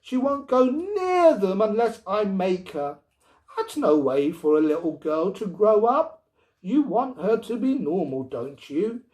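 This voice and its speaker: Storybook wife and mother, played by a man: high, swooping delivery, indignant, anxious, insistent. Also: big pitch swings, unhurried, a little mellow. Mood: pleading. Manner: pleading, emphatic.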